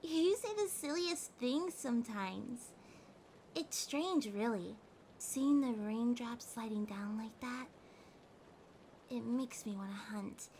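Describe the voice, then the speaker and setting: Woman voicing a high, slow-talking needy cat girl. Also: a little hiss or hum. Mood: drowsy, pouty. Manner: pouty, teasing, drowsy, speaking softly to a partner. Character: needy, haughty but affectionate young female cat girl. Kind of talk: ASMR roleplay, soft intimate acting. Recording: steady rain sounds behind voice. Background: rain ambience.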